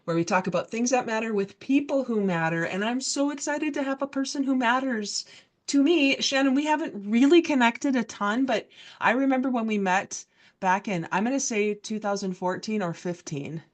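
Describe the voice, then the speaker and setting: A woman's voice: on the high side, moderate pace. A woman in her sixties hosting a podcast interview, upbeat and welcoming. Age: 60s. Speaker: podcast host.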